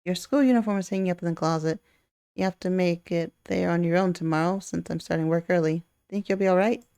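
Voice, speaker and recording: A woman's voice: lowish voice, fast, vocal fry, mellow, clean tone, dry recording. A practical older brother from a visual novel, read by a woman. The young man is reserved and matter-of-fact, recorded close and dry.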